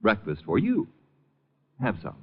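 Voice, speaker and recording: A man's voice: fairly low pitch, huge pitch swings, measured pace, breathy edge. A man giving a folksy, conversational 1950 radio advertisement in a chatty, easygoing, confiding way. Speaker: radio commercial spokesman. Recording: dull old radio recording.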